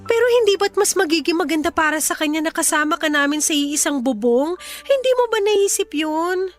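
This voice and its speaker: A woman's voice: very high voice, expressive, a little fast, faint music behind. An actress playing a clingy, desperate mother pleading with her ex in a Filipino radio drama, with an insistent, emotional delivery and a Filipino accent.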